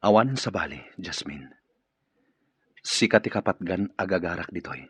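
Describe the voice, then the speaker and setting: A man's voice: low voice, animated, normal speed, fairly bright tone, husky, no room sound, exaggerated voice. A man acting as an earnest, courteous male boss in an Ilocano radio drama. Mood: earnest, tender.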